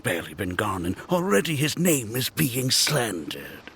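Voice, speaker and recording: Gravelly, indignant townsman. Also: medium pitch, wildly swooping pitch, normal speed, a bit bright. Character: gravelly, indignant devout townsman lamenting a slandered god. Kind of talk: anime-style video game voice acting. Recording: clear background noise and clicks.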